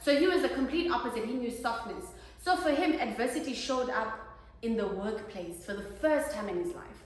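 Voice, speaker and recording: A young South African woman hosting a casual chat, expressive, laughing often and dropping in isiXhosa words. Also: fairly high pitch, a little fast. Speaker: host. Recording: echoey room.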